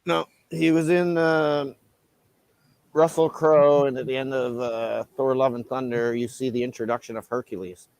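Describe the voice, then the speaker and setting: A man in his forties explains things confidently in a relaxed live chat. His voice has a middling pitch. The sound is dry and close. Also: normal speed, vocal fry, dry recording, rough recording. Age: 40s. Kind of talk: casual chat.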